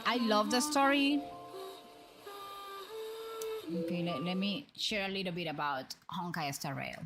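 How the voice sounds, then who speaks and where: A woman streamer with a Dominican accent chatting casually with viewers, rambling with frequent restarts and repeated words. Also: on the low side. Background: music.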